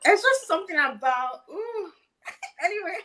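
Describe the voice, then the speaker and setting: A woman's voice: very high-pitched, lively intonation, measured pace, pushed and bright. A woman co-hosting a casual chat show with teasing, reactive banter. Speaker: show co-host.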